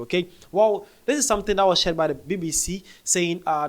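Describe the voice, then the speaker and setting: A man with an African accent and a somewhat high-pitched voice presents casual news commentary. He addresses viewers directly in a conversational way, often doubling back to repeat phrases.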